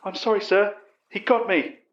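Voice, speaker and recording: In an echoey, dull recording, a storyteller puts on a meek male hostage. The voice is thin, high and apologetic, part of a horror tale. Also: fairly even intonation, normal speed, close to an everyday voice. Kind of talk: storytelling character voice.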